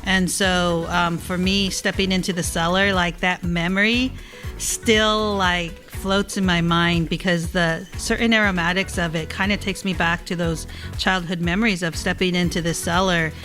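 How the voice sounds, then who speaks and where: A woman speaks as a guest in a relaxed interview, with a bright, forward voice. She tells her story reflectively, pausing and doubling back on words. Faint music sits behind her. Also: fairly low pitch.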